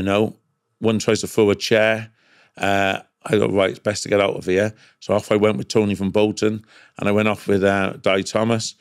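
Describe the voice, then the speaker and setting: A man's voice: lowish voice, creaky, no echo, clean studio sound. A middle-aged man with a Cardiff Welsh accent telling casual anecdotes as a podcast guest, emphatic and repetitive, often checking in with "right?". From Cardiff, Wales.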